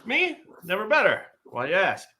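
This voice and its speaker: A man performs a blustering, cowardly would-be hero in a comic script reading. The voice is bright and forceful, set a little high. Delivery swings widely and is exaggerated, full of hollow boasting. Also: huge pitch swings, normal speed, exaggerated voice. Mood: boastful. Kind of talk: live comedic table read of a TV script.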